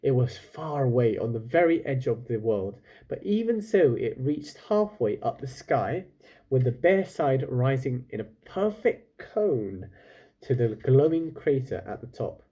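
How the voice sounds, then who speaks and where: Male storyteller, mellow husky voice, very animated pitch, expressive read-aloud, chatty asides. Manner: pauses for chatty asides to listeners. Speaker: storyteller, reader.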